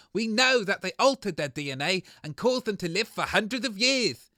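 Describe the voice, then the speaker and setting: A man's voice: high-pitched, bright, forceful tone, no echo, clean studio sound, full character voice. A man playing an earnest, easily outraged environmentalist guest in a comedy radio debate.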